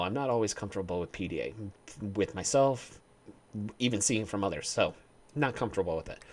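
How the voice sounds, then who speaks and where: Northern US man with lively, wry delivery. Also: mid-range voice. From northern United States. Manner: reads each statement, then answers it with wry asides. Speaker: vlogger. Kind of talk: casual commentary reading a quiz aloud.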